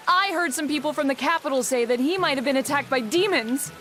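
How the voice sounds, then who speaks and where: A woman's voice: high-pitched, brisk, fairly bright tone, faint music behind. A woman playing an anxious, gossiping townsperson in a video game, urgent and questioning. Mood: worried.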